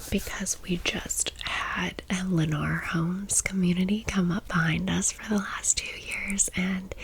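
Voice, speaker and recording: A woman's voice: on the low side, creaky, poor audio. A woman in her thirties whispering a casual, chatty, gushing story in an ASMR style. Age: 30s. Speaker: ASMR vlogger.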